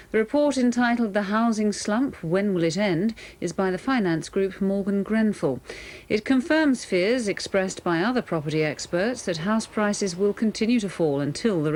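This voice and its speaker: A woman's voice: medium pitch, a little mellow. A woman reading a scripted regional television news bulletin as presenter.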